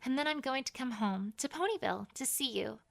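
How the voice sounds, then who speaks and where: A woman's voice: sits high, fairly quick, gravelly. A woman voicing an earnest, bookish young female pony scholar in an emphatic, conversational letter reading for an audio drama. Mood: relieved, determined.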